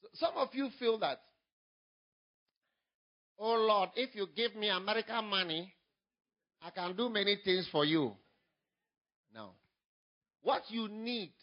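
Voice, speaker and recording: Ghanaian man preaching, rising to loud. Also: high-pitched, highs cut off. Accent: Ghanaian English. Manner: builds from quiet storytelling to loud emphatic preaching. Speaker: pastor. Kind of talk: sermon.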